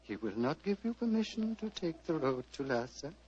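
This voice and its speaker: An actor playing a serene, fatherly old Tibetan abbot in a measured, formal radio drama style. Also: on the high side, sing-song. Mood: calm, fatherly. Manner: measured, formal, gently paternal. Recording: muffled vintage radio, hum and hiss.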